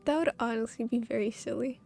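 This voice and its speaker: A woman's voice: a little high, fast, gravelly, darkish tone, faint music behind. A woman chatting casually as she live streams a game, giggly and meandering.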